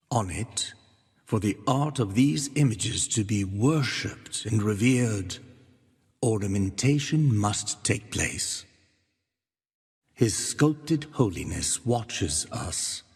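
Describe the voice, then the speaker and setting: A husky, solemn ancient guardian. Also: male voice, fairly low pitch, darkish tone, full character voice. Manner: grave, ceremonial, measured. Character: solemn, slow-speaking male guardian of a sacred bronze door. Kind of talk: scripted fantasy game dialogue. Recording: long cavernous reverb.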